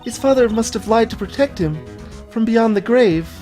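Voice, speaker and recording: An earnest, curious young girl assistant from a game, played by a woman. She speaks in a fairly high but mellow voice with a naive, questioning air, over faint music. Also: expressive, normal speed, lightly acted. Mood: worried, puzzled. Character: earnest, inquisitive young female legal assistant.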